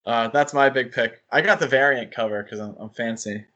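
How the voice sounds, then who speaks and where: A man's voice: medium pitch, a little fast, pushed and bright, highs cut off. Male podcast host, informal, conversational, casual chat delivery.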